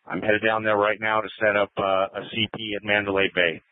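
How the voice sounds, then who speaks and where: Male American police commander, lowish and monotone, procedural delivery through a radio. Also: a little fast, dry recording. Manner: procedural, declarative. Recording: narrow, tinny police scanner radio.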